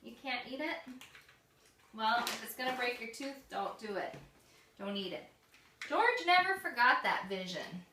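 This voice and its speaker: A woman reading a picture book aloud to young children, expressive and explaining things simply, like a mother at storytime. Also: fairly high pitch, a little slow, long pauses. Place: echoey room with small children present. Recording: echoey room, distant mic, faint noise.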